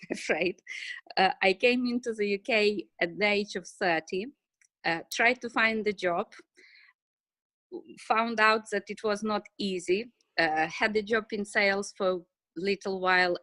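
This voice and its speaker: A middle-aged woman with an Eastern European accent, answering interview questions casually in short, halting phrases. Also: mid-range voice, a bit bright, no echo. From Ukraine and Russia. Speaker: interview guest, financial services business owner.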